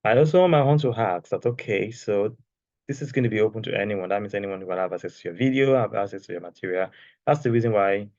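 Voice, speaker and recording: A man with a soft, lowish voice walks students through a screen-share tutorial, talking loosely and often checking in with "okay?" His voice comes through a dry, slightly dull external mic with no room sound. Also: fast talker, dry recording. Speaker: course creation instructor. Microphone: external microphone.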